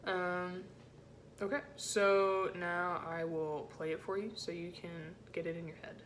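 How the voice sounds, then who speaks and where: A woman with a low-set voice giving an informal music rehearsal lesson as a choir section leader, in a casual, encouraging way with hesitant phrasing. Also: level tone, measured pace, slight background noise. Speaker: choir section leader teaching alto parts.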